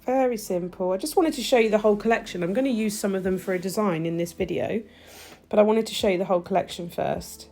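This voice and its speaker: A woman with a stuffy, nasal voice, chatting warmly through a nail tutorial as she works. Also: mid-range voice, fast talker, faint music behind. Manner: chatty, gushing, talks while working. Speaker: nail technician demonstrating gel polish. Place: home nail studio.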